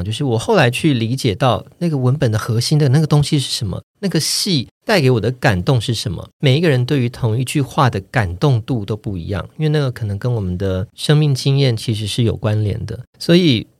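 A man with a Taiwanese Mandarin accent and clearly enunciated, standard pronunciation, answering interview questions in a reflective, explanatory way as a voice actor. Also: mid-range voice, expressive, quick pace, hardly any pauses, no room sound, studio quality. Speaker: voice actor, podcast guest.

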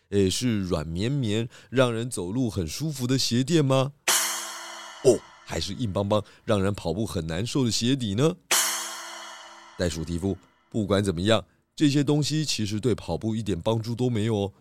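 Man voicing shrewd pig detective, lowish pitch, calm, kindly, measured, children's audio drama, music behind. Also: natural voice. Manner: measured, gently probing.

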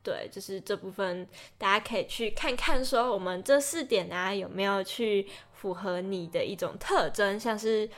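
A woman's voice: medium pitch, normal speed, hardly any pauses, soft background music. A young woman co-hosting a podcast in a casual, chatty style. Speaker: podcast co-host.

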